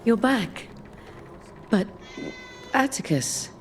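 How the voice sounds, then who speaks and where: An actress playing a distraught, pleading wife grieving over a missing husband in a video game. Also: female voice, fairly high pitch, expressive, very slow, a little hiss or hum.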